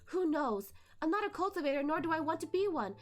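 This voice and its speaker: A woman's voice: very high voice, very animated, a little fast, pitched above the performer's own voice. A woman voicing a bitter, vengeful male ghost, a former courtesan, in a hateful, mocking, dramatic storybook read-aloud. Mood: bitter, scornful.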